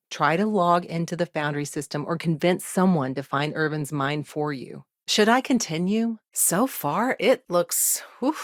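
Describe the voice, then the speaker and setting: An actress playing a busy, dry-witted woman lawyer in a video game, dogged but friendly, with a wry, conversational and measured delivery. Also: fairly low pitch, no echo. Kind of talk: game dialogue lines, naturalistic acting.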